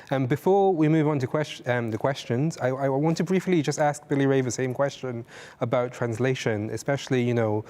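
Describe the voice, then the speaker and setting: A man hosting a conversational literary interview, putting his questions in a gentle, tentative way. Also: mid-range voice. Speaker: event host, interviewer.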